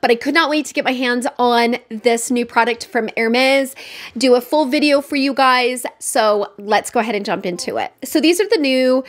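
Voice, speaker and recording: A woman's voice: fairly high pitch, normal speed. A young woman reviewing makeup in a casual, chatty way, her voice nasal and congested from a cold. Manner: chatty, upbeat, talks straight to viewers. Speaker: beauty vlogger.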